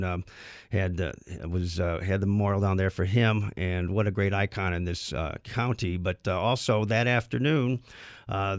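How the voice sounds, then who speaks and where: A man hosting a live talk radio show, getting over a head cold and a little raspy, talking with plenty of fillers and restarts. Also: lowish voice, no room sound, studio quality. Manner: halting, many fillers and restarts. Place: radio studio. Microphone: studio microphone.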